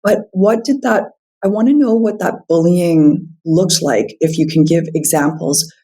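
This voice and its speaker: A woman hosting a podcast in an earnest, gentle way, her voice a little hoarse from a cold. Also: fairly low pitch, unhurried. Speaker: podcast host. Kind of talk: podcast conversation and scripted intro.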